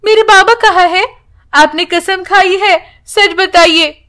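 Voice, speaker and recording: A woman's voice: very high-pitched, takes it slowly, pushed and bright, pitched above the performer's own voice, exaggerated voice. A woman voicing a young daughter in a children's story, pleading and emotional. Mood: insistent. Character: young girl, pleading, insistent, tearful daughter.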